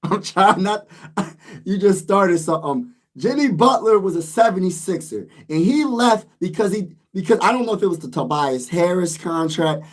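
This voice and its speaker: High-pitched, hoarse man ranting. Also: expressive, moderate pace. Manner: frustrated, emphatic ranting with repeated phrases. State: losing his voice. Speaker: sports vlogger. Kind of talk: casual sports rant.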